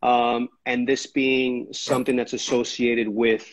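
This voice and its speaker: A man hosting an interview, asking thoughtful, measured questions over a video call. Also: mid-range voice, little pitch movement, rough recording. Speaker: interviewer host.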